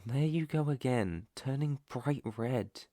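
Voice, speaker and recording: A British man playing a protective, gentle, clueless alpha werewolf in an intimate ASMR roleplay, speaking softly and reassuringly close to the mic. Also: medium pitch, animated, no room sound. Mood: sleepy, affectionate. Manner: soft, tender, unhurried, reassuring. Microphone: close microphone, dry.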